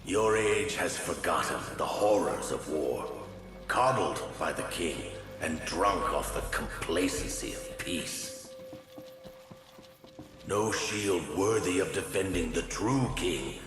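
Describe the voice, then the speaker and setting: An actor playing a scornful ancient warrior spirit with a deep, contemptuous voice, heard from far off in an echoing hall. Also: male voice, takes it slowly, fairly bright tone. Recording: echoey far-off hall with music.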